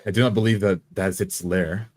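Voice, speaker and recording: A man playing an earnest, strategic adventurer in a tabletop game, speaking in a deliberate, halting way. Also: low-pitched, fairly even intonation, a little mellow. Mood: earnest, planning.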